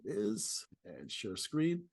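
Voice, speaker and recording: A man hosting an interior design talk over a video call, chatty and halting, often restarting his sentences and laughing. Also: on the low side, sing-song, a little mellow, husky.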